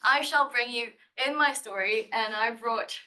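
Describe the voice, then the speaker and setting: A woman's voice: fairly high pitch, measured pace, crowd noise, low-quality recording. A young woman from Thailand giving a live science-writing presentation, nervous and giggly, with a playful manner. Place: lecture room.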